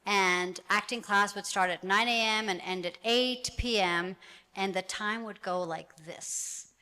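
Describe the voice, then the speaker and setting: A woman's voice: medium pitch, measured pace, pushed and bright. A woman panel guest and actor, talking casually and chattily, with many restarts and easy laughs. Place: event hall with audience. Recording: long hall reverb.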